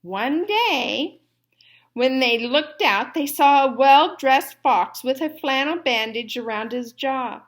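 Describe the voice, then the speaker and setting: A woman with a high voice reads aloud for children at a slow pace. She is deliberate and explanatory, like a teacher taking a class through a book. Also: lively intonation. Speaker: story reader and teacher.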